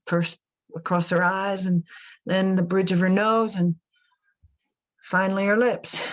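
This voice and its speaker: Woman acting a slow-talking, devout, troubled mother, muffled recording. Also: mid-range voice, lightly acted. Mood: fond. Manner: halting, wistful, folksy, brittle. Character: devout, unsettled imprisoned mother recounting her crime. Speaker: actor in a radio drama. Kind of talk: dramatic confessional monologue.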